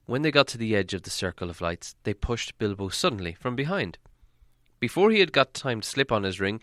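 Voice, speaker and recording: Bright, forceful male storyteller. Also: medium pitch, no room sound, studio quality. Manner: dramatic, expressive storytelling. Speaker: audiobook narrator. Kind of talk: scripted storybook narration.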